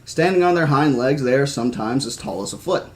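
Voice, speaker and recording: A man's voice: medium pitch, lively intonation, brisk, natural voice. A man reading as a confident, friendly field biologist. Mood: confident, reassuring.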